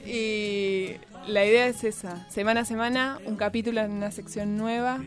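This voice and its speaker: A woman's voice: medium pitch, fairly even intonation, moderate pace, over music. A woman with a Rioplatense Argentine accent, chatting casually as a radio columnist, playful and fond of exaggeration.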